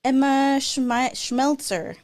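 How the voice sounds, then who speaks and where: A woman's voice: high-pitched, little pitch movement, takes it slowly. Chatty woman answering questions on a call. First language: Tagalog. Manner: casual and chatty, many fillers and restarts. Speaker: guest speaker. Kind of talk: live Q&A answers on a video call. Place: indoor room. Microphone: webcam video call.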